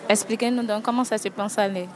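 A woman's voice: mid-range voice, steady tone, fast. A young woman interviewing passers-by on a market street with a handheld mic, asking blunt, teasing questions. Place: busy outdoor market street. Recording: outdoor crowd noise. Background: crowd.

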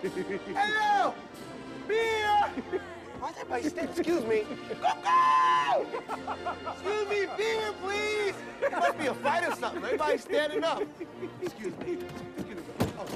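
Casting: a loud, wisecracking young guy, very high and lively voice, over a film score. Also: loud music behind the voice, a loud crowd, heavily acted. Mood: frantic. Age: young adult. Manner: yelling, rapid comic banter. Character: brash wisecracking young man, loud and excitable. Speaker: young man courtside at a pro basketball game. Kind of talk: broad comedy film acting. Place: packed indoor basketball arena.

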